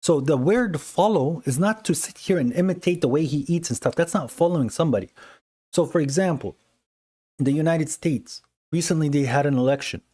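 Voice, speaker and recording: A man hosting a live call-in religious debate on a phone stream, explaining his points earnestly and briskly. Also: on the high side, animated, warm tone, husky. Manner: earnest, explanatory, quick to dismiss callers. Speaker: livestream host debating callers. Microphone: phone livestream.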